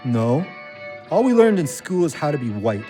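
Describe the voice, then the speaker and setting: A man's voice: a little high, very animated, moderate pace, dark tone, faint music behind. A man playing an earnest, plain-spoken ex-convict and loyal friend in a naturalistic audio drama. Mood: challenging.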